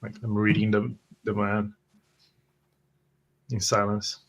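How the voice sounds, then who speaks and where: Brief: man, roleplaying game player, slightly low pitch, slow stop-start delivery. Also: completely flat delivery, vocal fry.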